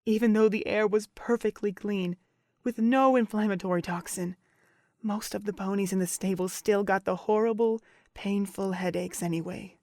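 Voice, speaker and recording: A woman's voice: medium pitch, fairly even intonation, dark tone, dry recording, studio quality, natural voice. Woman playing a cold, scornful pony overseer. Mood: calm, sinister explaining. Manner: deliberate, contemptuous monologue with swelling intensity. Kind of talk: dramatic audio drama acting.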